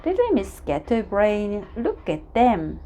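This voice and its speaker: A woman reads a children's story aloud. Her delivery is slow and a little halting, with animated rises and falls and a noticeable fry in the voice. Some noise sits behind her. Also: medium pitch. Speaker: storybook reader.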